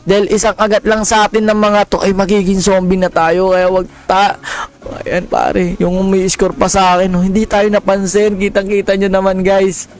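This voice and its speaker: A man's voice: high-pitched, flat delivery, normal speed. A Filipino man hosting casual, jokey gameplay commentary, speaking straight to his viewers. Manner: jokey, addresses viewers directly. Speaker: gaming content creator, host.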